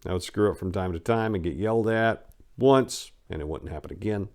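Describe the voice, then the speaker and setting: A man's voice: sits low, brisk, a little mellow. A man from the United States giving a dramatic first-person reading of a memoir in an irreverent, gritty storytelling manner. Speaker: audiobook narrator.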